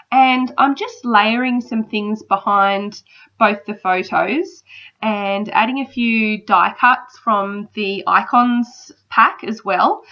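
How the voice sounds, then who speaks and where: A woman in her thirties with a slight cold, chatting casually in a voice-over. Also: on the high side. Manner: chatty, relaxed, frequent ums. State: battling a cold. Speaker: crafter narrating a process video. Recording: slightly dull lo-fi sound.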